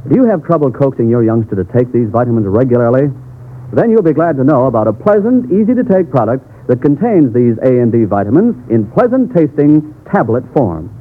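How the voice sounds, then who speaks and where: Thin old-radio American announcer man, animated pitch. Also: fairly quick, phone-quality sound. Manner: earnest, persuasive. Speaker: commercial announcer. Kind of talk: 1940s radio advertising, scripted. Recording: thin, band-limited vintage radio broadcast.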